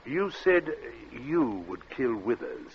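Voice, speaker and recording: An actor playing a cold, urbane captain in an old radio thriller, speaking in a suave, measured way with polite menace and small hesitant asides. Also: male voice, medium pitch, sing-song. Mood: coldly threatening. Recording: dull, noisy vintage radio recording.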